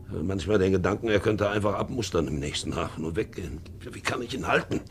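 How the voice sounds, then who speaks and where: An actor playing a hard, brooding ageing ship's captain in a 1950s radio drama, shifting between muttering and barking. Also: male voice, deep voice, quick pace, a little hiss or hum, close to an everyday voice. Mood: brooding, weary. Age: older. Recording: 1950s radio recording, faint music.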